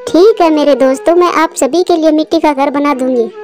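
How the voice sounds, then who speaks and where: Woman acting humble cartoon mother bird, very high voice, devoted, earnest, music underneath. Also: brisk, a little mellow, natural voice. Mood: gentle, encouraging. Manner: earnest, pleading, polite. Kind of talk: Hindi children's cartoon voice acting. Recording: music bed behind the voice.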